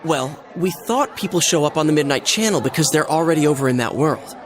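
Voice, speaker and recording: An American man voicing an earnest, excitable teenage schoolboy in a video game, talking in a casual, expressive way. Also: a little high, brisk, close to an everyday voice. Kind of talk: English video game voice acting. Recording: background music and crowd chatter behind.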